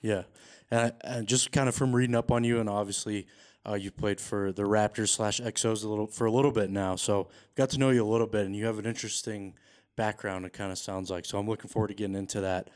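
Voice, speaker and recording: Guy doing a sports podcast, pretty flat pitch, smooth clear voice, laid back. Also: fast, dry recording, studio quality. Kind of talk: casual sports show commentary and interviewing.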